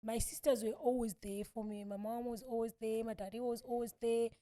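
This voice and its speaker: A woman's voice: a little high, level tone, fairly quick, mellow. A woman in her thirties with a Southern African accent, telling her own story as an interview guest, repeating phrases for emphasis. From born in South Africa, grew up in Malawi. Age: 30s. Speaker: podcast guest.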